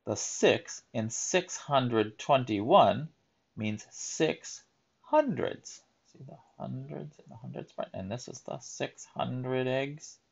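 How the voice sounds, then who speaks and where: A man reading a children's picture book aloud in a deliberate, emphatic teaching manner, adding explanatory asides. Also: medium pitch, creaky, muffled recording.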